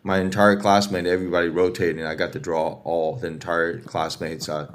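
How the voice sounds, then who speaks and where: Deep-voiced Taiwanese-accented man. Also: flat delivery. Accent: Taiwanese Mandarin. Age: 50s. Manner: halting, repeats words, loose grammar. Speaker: guest. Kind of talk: casual interview storytelling.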